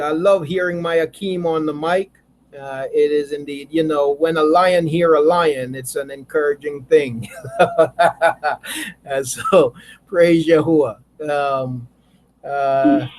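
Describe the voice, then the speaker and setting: A man's voice: on the high side, a little mellow, poor audio. A man leading an online religious study group, chatting casually and often repeating his words. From Jamaica.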